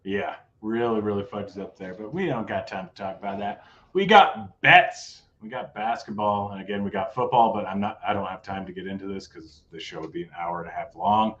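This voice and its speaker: A man's voice: lowish voice, a little fast, hardly any pauses, fairly bright tone, muffled recording. A man hosting a casual sports betting show, talking through his picks in a laid-back way, his voice a bit worn. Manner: laid-back, rattles off odds. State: losing his voice.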